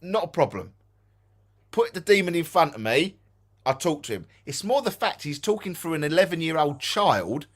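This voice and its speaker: A man's voice: a little high, big pitch swings, moderate pace. A man with an Essex English accent co-hosting a ghost hunting live stream, chatting casually and repeating himself for emphasis.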